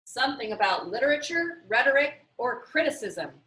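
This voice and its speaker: Woman as a confident, wry boy, animated delivery. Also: high voice, moderate pace, reverberant, full character voice, poor audio.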